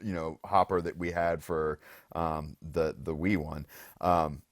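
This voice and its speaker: A man with a hoarse voice, co-hosting a podcast in casual, wordy chat, thinking aloud as he goes. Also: extremely low pitch, steady tone, a little slow, dry recording, clean studio sound. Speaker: podcast co-host. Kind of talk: casual unscripted chat about game shows.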